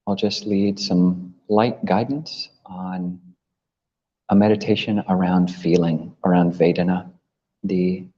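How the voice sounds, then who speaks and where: A man leading a guided meditation as a teacher, gently instructing with long pauses between phrases. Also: sits low, breathy edge. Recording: muffled, long reverberant hall echo.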